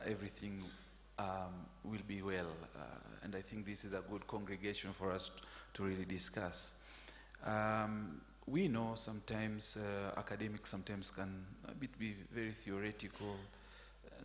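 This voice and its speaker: Tanzanian male academic, flat low delivery with fillers, recorded in a reverberant hall with faint hum. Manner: friendly, hesitant with fillers. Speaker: academic panelist. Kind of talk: academic panel talk.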